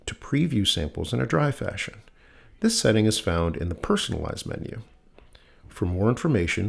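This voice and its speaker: A man reading scripted technical text in a soothing, measured voice with deliberate pauses, as the host of a sleep-aid reading. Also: deep voice, creaky, breathy edge.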